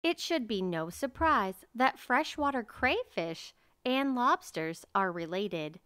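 A woman reading a children's nature picture book aloud as narrator, in a measured, carefully enunciated way. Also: on the high side, lively intonation, fairly bright tone, clean tone, no echo, clean studio sound.

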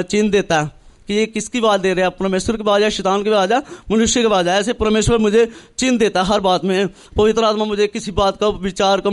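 A young man from northern India tells his religious testimony earnestly, in halting, repetitive phrases, acting out remembered dialogue. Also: high voice, darkish tone, clean tone. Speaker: church member giving testimony. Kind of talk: personal religious testimony, storytelling.

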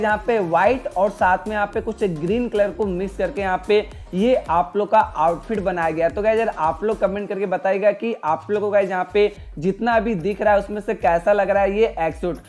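A man with an Indian accent doing a casual gaming news voice-over as a YouTube host, repeatedly addressing his listeners. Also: high voice. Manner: repetitive, addresses listeners constantly as friends. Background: background music.

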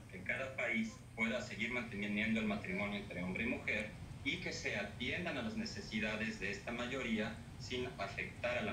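A man guest on a TV news interview, speaking formally with some hesitant fillers, over a video call from an office. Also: on the low side. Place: office with bookshelves. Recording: roomy, distant, noisy, played off a TV.

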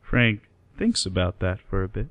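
A man's voice: sits low, big pitch swings, normal speed, narrow, tinny audio, a little hiss or hum. A man narrating a scripted radio play in the present tense.

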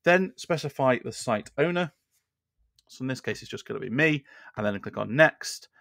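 A man in his thirties walks through a software tutorial, speaking casually and filling pauses with ums and uhs. His mid-range voice carries a gravelly, fry-tinged edge. He sits close to a boom-arm studio mic, so the sound is dry and free of room echo. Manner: relaxed, conversational, with frequent fillers. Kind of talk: screen-share tutorial. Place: small indoor room at a desk. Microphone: studio microphone on a boom arm.

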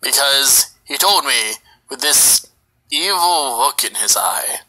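A man voicing a hesitant, laid-back skeleton in a fan comic dub, speaking haltingly. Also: mid-range voice, expressive, bright, forceful tone, exaggerated voice, poor audio. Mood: hesitant, uneasy.

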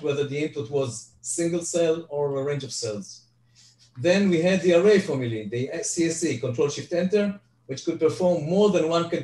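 A man's voice: a little high, warm tone. Older man teaching on a video call. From Israel. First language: Hebrew. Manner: halting, frequent restarts and fillers. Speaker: guest presenter. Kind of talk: online Excel lecture. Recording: echoey, distant video call audio.

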